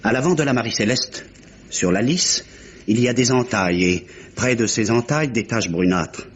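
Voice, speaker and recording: An actor playing a laconic, dutiful police inspector in an old French radio mystery, speaking in deliberate, clipped phrases. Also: male voice, medium pitch, close to an everyday voice. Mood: dogged, literal. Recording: muffled, lightly hissy old radio recording.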